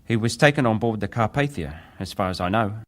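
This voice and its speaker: A man acting as a young ship's wireless operator and survivor, giving testimony in a deferential, hesitant, measured way. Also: lowish voice, animated, lightly acted. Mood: grave, restrained. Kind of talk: dramatized reading of hearing testimony.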